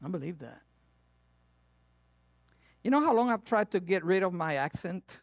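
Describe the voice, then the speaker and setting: A male pastor with a Latin American Spanish accent, preaching a sermon in a playful, animated way that swings between loud emphasis and hushed asides. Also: high voice, normal speed, gravelly, no echo.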